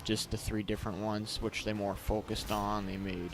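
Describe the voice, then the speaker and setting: A man giving casual, unscripted video game commentary, rambling and halting as he goes, as a reviewer. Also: on the low side, level tone, noisy background. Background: game cutscene audio and music.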